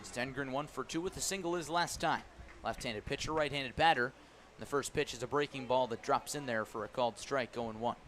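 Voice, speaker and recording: A man works as a baseball radio announcer, calling the game live. His mid-pitched voice sits over a clear bed of stadium ambience and background noise. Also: fairly quick, hardly any pauses, fairly bright tone.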